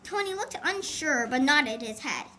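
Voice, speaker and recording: A woman's voice: high voice, animated, unhurried, sharp tone. A woman reading a scripted children's adventure story as an audiobook narrator. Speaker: audiobook author and reader. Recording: faint background noise.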